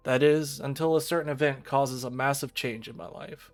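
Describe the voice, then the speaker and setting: An aloof teenage schoolgirl read by a man in his mid-pitched voice narrates a visual novel over faint music. The delivery is measured and resigned, that of a fatalistic outsider telling a story. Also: steady tone, close to an everyday voice. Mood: detached.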